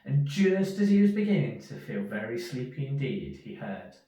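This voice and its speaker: A man reading a children's story aloud in an expressive storyteller's manner. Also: mid-range voice, normal speed. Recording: echoey room, distant from mic.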